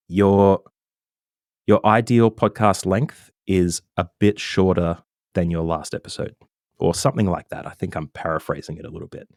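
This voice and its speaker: Podcast guest: man, mild Australian accent, very low voice, dry close sound, easygoing and rambling. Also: a little slow, pauses often, no room sound. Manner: relaxed and chatty, with many ums and self-corrections. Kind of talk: casual interview chat.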